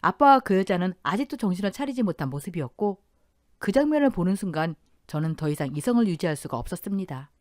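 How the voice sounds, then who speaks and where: Intense, lively woman storyteller. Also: lowish voice, fast, no room sound, clean studio sound. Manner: intense, emotional first-person telling. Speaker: narrator of a first-person story. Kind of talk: dramatic audio-drama storytelling narration.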